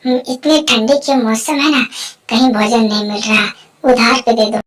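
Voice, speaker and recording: A very high, slow-spoken cartoon crow wife, played by a woman. She is bossy and hungry, nagging and ordering her mate about like a scolding housewife in an animal fable. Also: faint music behind, close to an everyday voice. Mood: pleading. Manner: nagging, commanding. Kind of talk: Hindi children's animated fable dialogue.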